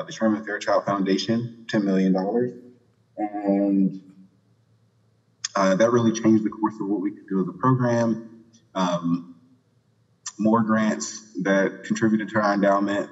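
A man presenting his program's results at a conference in a relaxed way, with many fillers and quick asides. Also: fairly low pitch. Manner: relaxed, filler-heavy, skipping ahead for time. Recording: muffled audio in a reverberant hall.

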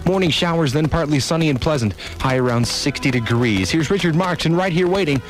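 A man's voice: a little high, lively intonation, fairly quick, soft background music, low-quality recording. A man as a radio DJ, announcing forecasts and songs. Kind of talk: brisk forecast and song announcing.

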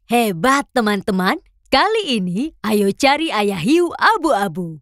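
A woman's voice: a little high, sing-song, slow pace, dry recording, clean studio sound, exaggerated voice. A woman voicing a friendly, encouraging mother shark in a children's cartoon. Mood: pleased.

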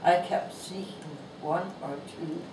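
A woman in her nineties with a thin voice, reading her own humorous essay aloud in a bookstore in a slow, gentle and amused way. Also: fairly low pitch, steady tone. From Canada. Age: 90s. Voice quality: thin, not a strong voice. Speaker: author reading her book. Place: bookstore, indoors. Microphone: lapel mic, filmed from across the room. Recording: echoey shop sound from a distance, background noise.

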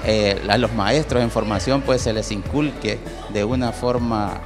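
A middle-aged man with a lowish voice speaks haltingly, often restarting. He talks into a handheld mic indoors while music plays clearly behind him. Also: steady tone. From El Salvador. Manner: halting, many fillers and restarts. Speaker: parent and teacher. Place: university event hall, indoors.

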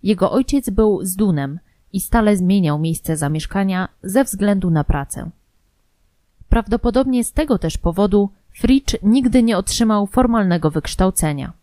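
Mellow woman narrator. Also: medium pitch, expressive, normal speed, dry recording. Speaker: narrator of a true-crime history audio programme. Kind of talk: scripted narration.

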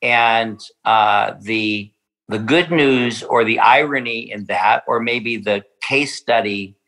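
Man, bright tone, winery guest, hesitant, lots of restarts, podcast interview. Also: fairly low pitch, slow pace, rough recording. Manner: hesitant, many fillers and restarts. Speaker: winery representative.

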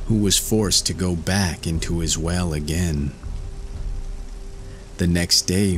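A man's voice: sits low, slight background noise. A man reading a fairy tale as storyteller, in scripted narration.

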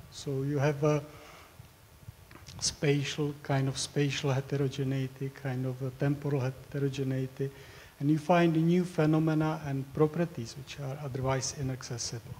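Man, 50s, professor lecturing, halting delivery with lots of fillers, flat mellow voice, long hall reverb. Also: fairly high pitch, a little hiss or hum. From Czech Republic. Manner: hesitant, with frequent fillers and repeated words. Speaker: professor giving a research talk. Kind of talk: academic presentation.

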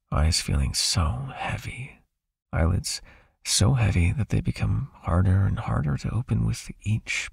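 Man playing a controlling yet caring vampire, ASMR hypnosis roleplay, very husky and breathy, unhurried, coaxing, soothing. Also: sits low, no echo, studio quality, exaggerated voice. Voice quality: raspy, breathy, creaky. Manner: slow, hushed, coaxing.

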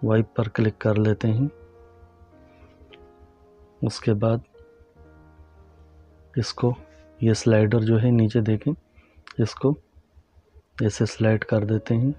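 A man calmly narrating a step-by-step phone tutorial and talking to viewers as friends. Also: fairly low pitch, slow, long pauses, faint music behind. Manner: calm, instructive, addresses viewers as friends. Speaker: tech tutorial host.

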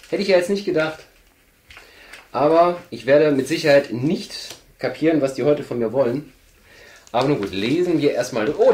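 A man's voice: on the high side, darkish tone. A young man with a stuffy nose, chatting casually on camera from a table indoors as he opens an advent calendar, rambling with lots of hesitant fillers. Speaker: channel host. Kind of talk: casual chat while opening an advent calendar. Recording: roomy, echoey room sound.

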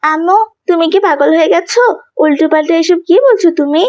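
A woman's voice: extremely high pitch, a little fast, darkish tone, dry recording, lo-fi sound. A woman voicing a young schoolgirl in a dramatic family cartoon, earnest and childlike. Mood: shocked, scornful.

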